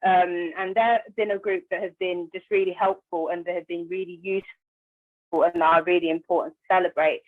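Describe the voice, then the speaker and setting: A woman's voice: fairly low pitch, steady tone, normal speed, dry recording. A woman talking informally as a campaigner and guest in a radio discussion over a remote call. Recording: tinny phone-like connection.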